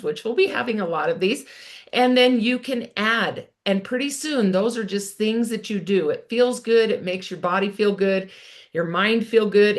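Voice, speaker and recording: A woman's voice: medium pitch, fairly bright tone. A woman in her sixties, a wellness coach, talking casually and encouragingly to viewers, chatty with frequent restarts. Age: 60s. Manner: upbeat and encouraging, addresses viewers as "you guys". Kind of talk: casual direct-to-camera welcome talk.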